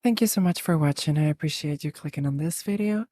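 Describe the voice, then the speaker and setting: A man's voice: a little high, quick pace, warm tone. A man speaking as a channel host, giving a polite outro to his audience. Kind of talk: scripted-sounding channel outro.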